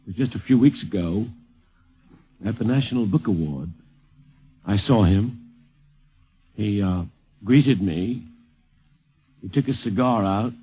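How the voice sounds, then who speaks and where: A man reminiscing in a deliberate, measured way, as a guest critic on a 1950s radio recording. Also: fairly low pitch, breathy edge, lo-fi sound. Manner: slow, deliberate, measured phrasing. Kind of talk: spoken reminiscence.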